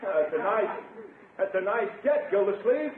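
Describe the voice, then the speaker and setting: An actor playing a smug, flirtatious athletic doctor in a 1950s radio sitcom, breezy, self-assured and condescending. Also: male voice, sits high, sing-song, unhurried. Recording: tinny, faintly noisy 1950s radio recording.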